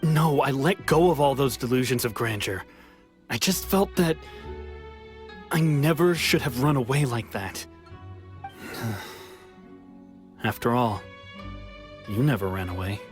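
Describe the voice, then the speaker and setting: A man's voice: mid-range voice, lively intonation, takes it slowly, long pauses. A wistful young wanderer with a mid-range, earnest voice that drifts into sighs. He sounds tired yet sincere, over faint game music. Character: weary young man returned from exile. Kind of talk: video game voice acting.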